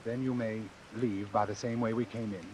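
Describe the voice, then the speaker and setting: An actor playing a cold, calculating doctor in a vintage suspense radio drama, speaking in a formal, precise, measured way. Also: male voice, on the low side, fairly even intonation, darkish tone, close to an everyday voice, low-quality recording. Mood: calm, persuasive. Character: cold, calculating male doctor obsessed with his experiment. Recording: hissy old radio recording.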